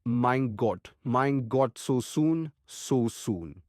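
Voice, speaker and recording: Earnest old doctor, dry close sound. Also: male voice, medium pitch, lively intonation, extremely slow, darkish tone, no echo. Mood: shocked groaning. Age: older. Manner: earnest, courtly, formal, quaint foreign phrasing. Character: kindly earnest old European doctor, courtly and effusive. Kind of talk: audiobook character acting.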